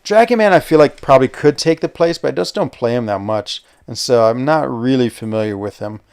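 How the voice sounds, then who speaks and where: A young man with an American accent chats loosely to his viewers while he plays. His voice is smooth and resonant and sits at an ordinary middle pitch. He rambles along, full of fillers and small hesitations. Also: darkish tone. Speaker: gaming livestream host. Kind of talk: casual live-stream chat while playing.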